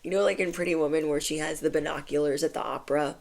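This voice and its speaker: A woman's voice: sits low, steady tone, fast talker, vocal fry. A woman giving a casual channeled spiritual message to her viewers, chatty and affirming, with lots of "like". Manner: chatty, affirming, says "like" often. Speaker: spiritual reader.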